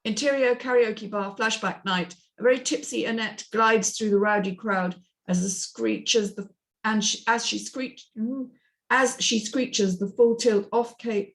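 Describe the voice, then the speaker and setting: Female narrator for a script table read, medium pitch, reading out the action. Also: a little slow. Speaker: stage directions narrator in a script read-through.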